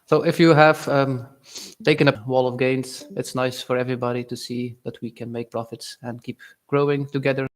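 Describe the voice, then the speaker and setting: A young man from Belgium, speaking natively, giving casual, halting live-stream commentary on his trades and answering chat. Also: medium pitch, flat delivery. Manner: casual, halting, reads chat aloud with fillers.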